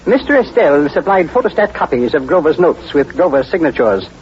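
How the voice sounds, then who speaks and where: Stuffy formal rich gentleman, old radio drama, dull sound w/ faint hiss. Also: a little high, very animated, brisk. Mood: anxious, formal. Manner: formal, precise, pompous. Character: stuffy, wealthy, fussy gentleman client, stepfather. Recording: muffled vintage radio, faint noise.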